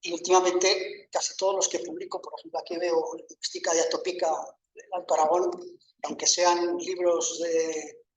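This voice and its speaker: A man's voice: high voice, level tone, moderate pace, a little hiss or hum. Older male professor, hesitant, echoey room. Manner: thoughtful, hesitant with many fillers and restarts. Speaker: university professor. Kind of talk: panel talk answering a question. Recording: echoey room, mic at a distance.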